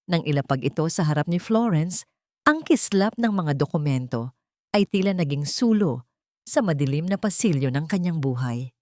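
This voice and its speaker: A woman reading a scripted dramatic story as narrator, in a measured, solemn manner. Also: low-pitched, animated, mellow, no room sound, clean studio sound.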